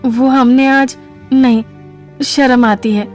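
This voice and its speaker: A woman's voice: sits high, steady tone, takes it slowly, darkish tone, soft background music. An actress playing a timid, coy young woman in an audio drama, hesitant and deferential as she placates. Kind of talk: Hindi audio drama acting.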